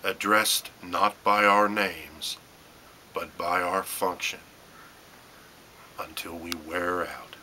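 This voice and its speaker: Male spoken-word poet, low-pitched and hard-edged, measured phrases with pointed stresses, slightly noisy recording. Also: steady tone, sharp tone. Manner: deliberate, short phrases with pauses and emphatic stresses. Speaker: poet reading own work. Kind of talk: dramatic spoken-word poetry reading.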